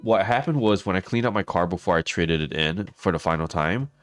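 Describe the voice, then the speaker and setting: A hoarse man, chatting casually with viewers on a livestream and laughing often. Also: sits low, animated, brisk, no echo, soft background music. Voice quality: raspy. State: hoarse, losing his voice. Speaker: streamer.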